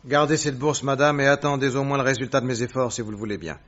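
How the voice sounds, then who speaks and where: Casting: actor as brilliant commanding detective, mid-pitched male voice, courteous but firm, radio-play delivery, band-limited old recording. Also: fast talker, a bit bright, lo-fi sound, lightly acted. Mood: brisk, businesslike. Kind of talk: French radio drama acting.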